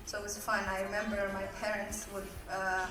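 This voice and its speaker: A woman's voice: mid-range voice, little pitch movement, brisk. A woman briefly introducing a poem from her childhood on stage, hesitant and apologetic. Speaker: poetry reciter introducing her piece. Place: event hall. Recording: echoey hall from far off.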